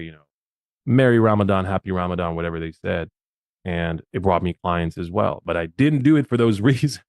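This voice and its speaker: A man's voice: sits low, no echo, studio quality. A man from Bosnia, a podcast guest, gives social media advice in a casual, emphatic way and often repeats his words.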